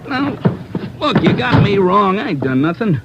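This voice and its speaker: Mouthy, swooping American small-time crook. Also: male voice, high voice, sing-song, normal speed. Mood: pleading, defensive. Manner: slangy, sneering, protesting. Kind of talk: 1940s radio crime drama acting. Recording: muffled, noisy old radio recording.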